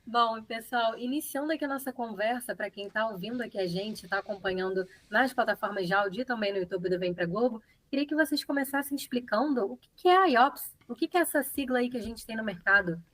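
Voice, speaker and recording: Woman, podcast host, fairly high voice, dry close sound, friendly moderator. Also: fast talker, hardly any pauses, a bit bright, no echo, low-quality recording. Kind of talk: hosting a tech podcast panel. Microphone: remote call.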